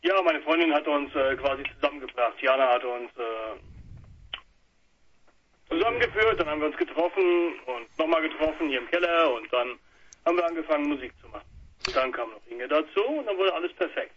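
A man, a band's bass player talking casually in a phone interview. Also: animated, brisk. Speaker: bassist in a band. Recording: muffled, noisy telephone line on radio.

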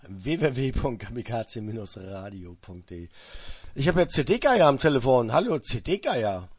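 A man hosting a radio interview, talking casually and jumping in with quick interjections. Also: mid-range voice, big pitch swings, husky, no echo. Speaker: radio host. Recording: dull, dry radio sound.

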